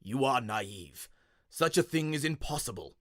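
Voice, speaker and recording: A man's voice: mid-range voice, animated, a little slow, fairly bright tone, no echo, studio quality. Man playing a cold, muttering old inventor. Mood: scornful, grudging. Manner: muttering, curt, grudging. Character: cold, bitter old male inventor, jealous uncle.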